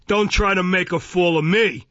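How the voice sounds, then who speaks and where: A man's voice: on the high side, animated, unhurried, sharp tone, no echo, lo-fi sound, pitched above the performer's own voice, heavily acted. A man voicing an irascible, pompous, bullying police magistrate in an audiobook, barking his words with contempt. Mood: scornful anger. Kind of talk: dramatised audiobook reading of a classic novel.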